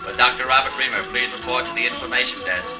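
An actor plays an airport announcer in an old 1940s radio show. He calls out in a formal, impersonal mid-pitched voice. Music runs clearly behind him. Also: quick pace, close to an everyday voice, low-quality recording. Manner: formal public-address calling. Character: male airport flight announcer.

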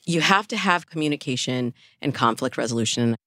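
A woman with a low-pitched voice talks as a guest expert in an interview. She explains advice in a conversational way, using illustrative examples. The sound is dry and close, with no room echo. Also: expressive, fairly quick, pushed and bright. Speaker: dating coach, interview guest.

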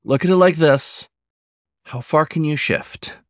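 A man's voice: on the high side, measured pace, dry recording, clean studio sound, pitched above the performer's own voice, natural voice. A man voicing a nonbinary werewolf cook in a story, an earnest, emphatic explainer with a colloquial way of talking. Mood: emphatic, explaining.

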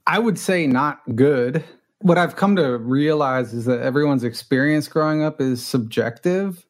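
A man's voice: fairly high pitch, measured pace. Reflective man telling his story. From Los Angeles. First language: Spanish. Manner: reflective, self-deprecating, many restarts. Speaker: guest. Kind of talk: candid conversational storytelling.

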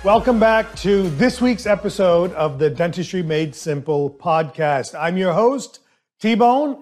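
High-voiced, emphatic male podcast host. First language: not English. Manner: emphatic, animated, coaching tone. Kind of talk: solo podcast monologue.

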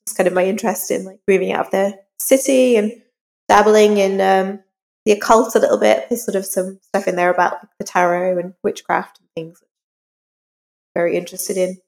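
A woman's voice: mid-range voice, steady tone, moderate pace, vocal fry, darkish tone, big-room sound. A woman talking conversationally as a guest author in an interview.

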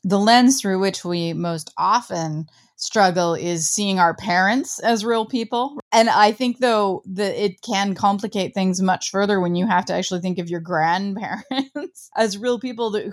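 A woman's voice: mid-range voice, normal speed, few pauses. A woman hosting an interview, asking about a book and reflecting in a conversational way. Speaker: interviewer, host.

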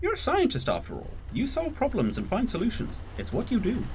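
An actor playing a courteous, reassuring gentleman attendant in an audio drama, speaking politely and formally with gentle concern. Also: very high voice, wildly swooping pitch, brisk, a little hiss or hum, full character voice.

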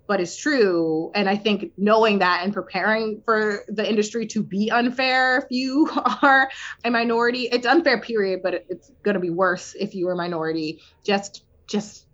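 A woman who writes for TV talks candidly in a relaxed interview. Her delivery is animated and loose, full of "like" and quick restarts. The audio sounds slightly dull and lo-fi. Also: mid-range voice. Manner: candid, animated, many fillers. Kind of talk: casual interview conversation.

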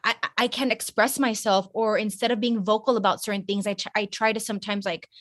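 A woman's voice: mid-range voice, steady tone, brisk, no room sound, studio quality. A young woman from the Texas border region, a guest chatting animatedly and slipping between Spanish and English. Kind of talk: casual interview chat.

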